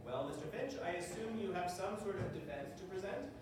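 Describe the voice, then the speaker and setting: A man's voice: sits high, expressive, fairly quick. Formal male judge in a very echoey hall acted. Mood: wry. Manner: formal, procedural questioning. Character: male courtroom judge, formal, mildly wry. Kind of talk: stage readers theater courtroom drama. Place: live stage performance in a roomy venue. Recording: echoey hall, distant mic, noisy room.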